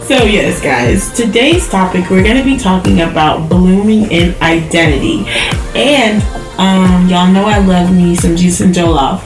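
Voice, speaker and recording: A woman's voice: fairly low pitch, unhurried, reverberant, over music. A woman from Jamaica hosting a podcast, chatting casually and teasing with frequent laughs. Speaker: podcast host. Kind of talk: casual chat. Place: bedroom.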